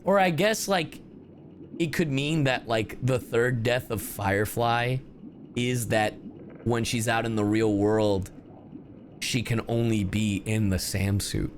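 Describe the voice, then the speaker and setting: Very low-voiced, slow-talking excitable streamer woman. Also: slight background noise. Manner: excitable, profane, slangy. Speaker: streamer reacting to a game. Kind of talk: casual live game reaction. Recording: game music faintly behind. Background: game music and dialogue.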